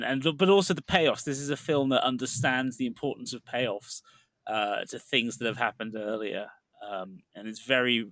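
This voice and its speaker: A man's voice: medium pitch, sharp tone, dry recording. A British man co-hosting a podcast, talking in a rambling, casual way with many restarts. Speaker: podcast co-host. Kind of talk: casual film discussion.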